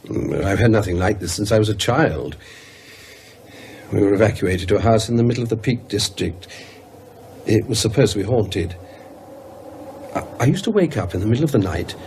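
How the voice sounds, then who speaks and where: A man's voice: lowish voice, expressive, normal speed, long pauses, darkish tone, slight background noise. A man playing an anxious, evasive English university academic in a radio drama, hesitant, stammering and apologetic. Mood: uneasy, weary.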